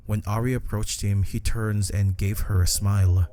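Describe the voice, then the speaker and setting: A man's voice: on the low side, fairly even intonation, normal speed, dark tone. A man reading a scripted horror story narration for a video. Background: background music.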